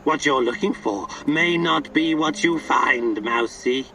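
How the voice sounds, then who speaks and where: A man's voice: a little high, takes it slowly, vocal fry, bright, forceful tone, distant mic, a little hiss or hum, heavily acted. An actor voicing an eccentric, twitchy male vagrant in a video game, speaking in cryptic, theatrical, playful riddles. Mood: cryptic, teasing. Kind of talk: video game character acting.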